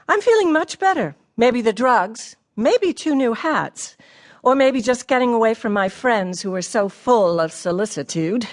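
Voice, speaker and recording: Wry female poet, lively intonation. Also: fairly high pitch. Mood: candid, warm. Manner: dry wit, precise. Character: shy, wry, observant female poet writing to a friend. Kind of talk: radio theatre, reading letters and verse aloud.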